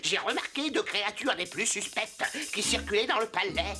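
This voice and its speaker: A woman voicing a cocky, excitable monkey detective in a cartoon, boastful and theatrical. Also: medium pitch, moderate pace. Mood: pompous, sleuthing. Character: cocky excitable monkey playing self-important private detective. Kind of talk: animated series dialogue. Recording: music under the voice.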